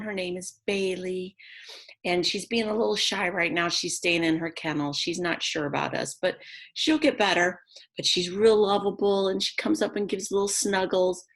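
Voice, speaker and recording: A woman's voice: mid-range voice, creaky. A woman teacher reading a children's book aloud, speaking expressively and directly to young listeners with chatty, simple asides.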